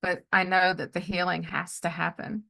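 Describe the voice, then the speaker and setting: A middle-aged woman chatting casually as a podcast host, telling personal stories of faith in an animated way and often acting out what people said. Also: lowish voice, moderate pace, gravelly. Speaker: podcast host and life coach. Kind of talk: casual conversational storytelling with faith testimony.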